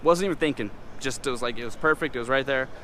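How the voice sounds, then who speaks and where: A man telling a date story in a casual, animated way, swearing now and then. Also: mid-range voice, fast talker, a little hiss or hum. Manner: animated, swears casually, acts out voices in quotes.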